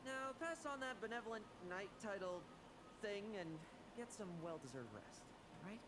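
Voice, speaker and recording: A woman's voice: medium pitch, lively intonation, moderate pace, close to an everyday voice. An actress playing a cheeky young woman knight with a playful, teasing manner. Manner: playful, teasing, swinging between cheer and gloom. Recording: game audio with noise.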